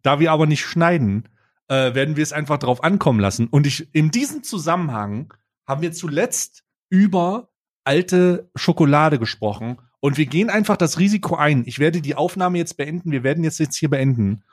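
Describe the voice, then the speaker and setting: A man's voice: fairly high pitch, lively intonation, moderate pace. A man hosting a podcast in casual chat, chatty and hesitant, recorded over a remote call. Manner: chatty, hesitant, laughs easily. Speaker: podcast host.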